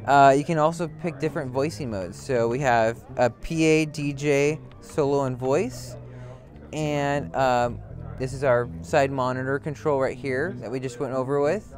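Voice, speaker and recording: A man demonstrating a product in an unscripted walkthrough, speaking as a brand representative. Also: mid-range voice. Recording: loud music behind the voice. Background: loud music.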